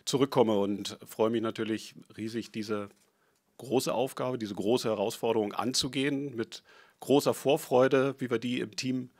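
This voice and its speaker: A man's voice: mid-range voice, fairly even intonation, normal speed. A man in his forties from Berlin, an eager new sporting director speaking at a press conference on a table mic. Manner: eager, upbeat. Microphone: table microphone.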